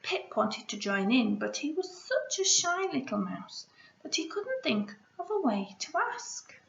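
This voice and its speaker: Teacher lady reading to kids, really expressive high voice, echoey slightly muffled room. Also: unhurried, creaky, slight background noise. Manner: expressive, with hushed asides to the listeners. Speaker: primary school teacher. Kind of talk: storybook read-aloud for children. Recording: roomy echo, slightly dull sound.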